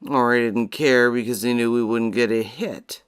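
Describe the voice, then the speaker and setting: A woman's voice: extremely low pitch, fairly even intonation, normal speed, smooth, no room sound, clean studio sound. A woman playing a blunt, wry police detective in a scripted radio drama. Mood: wry, guarded.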